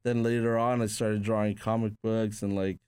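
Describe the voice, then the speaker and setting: Low, smooth-voiced Peruvian-born man telling stories. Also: level tone, normal speed, darkish tone, no room sound, studio quality. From Peru. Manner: rambling, filler-heavy with frequent "like". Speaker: guest, tattoo artist. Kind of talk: casual conversational storytelling.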